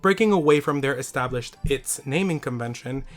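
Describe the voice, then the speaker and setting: Male video essay host, a little raspy, upbeat scripted read. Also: mid-range voice, animated, moderate pace, faint music behind. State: slightly raspy, losing voice.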